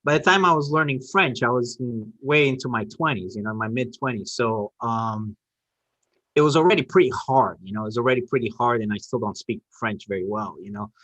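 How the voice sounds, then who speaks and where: A man's voice: mid-range voice, brisk, a bit bright, dry recording, dull audio. A middle-aged man whose first language is Portuguese, talking casually and emphatically, often repeating phrases to make his point. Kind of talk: casual conversation.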